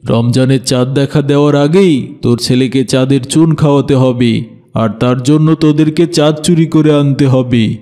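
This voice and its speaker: A man's voice: mid-range voice, steady tone, normal speed, dark tone, lightly acted. A man voicing a greedy, fraudulent holy man in a cartoon, making ominous, commanding pronouncements. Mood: sly, commanding. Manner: ominous pronouncements, repeats phrases, addresses others brusquely. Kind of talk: dramatized cartoon dialogue.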